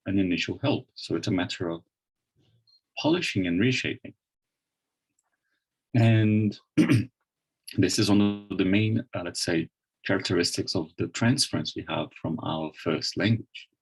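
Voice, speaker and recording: Low husky man with Brazilian accent. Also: poor audio. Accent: Brazilian Portuguese. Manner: thoughtful, many restarts and fillers. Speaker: webinar presenter. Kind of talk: online lecture on pronunciation.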